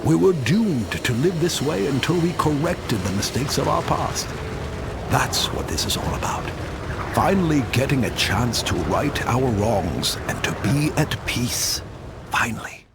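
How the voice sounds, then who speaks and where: An actor playing an ancient immortal warrior in a cartoon game, solemnly telling his tale in a grave, measured, wistful voice over music. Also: medium pitch, very animated, vocal fry, very noisy. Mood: regretful, weary. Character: immortal ancient warrior, regretful and weary, recounting his curse. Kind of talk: solemn dramatic storytelling. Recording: loud music under the voice.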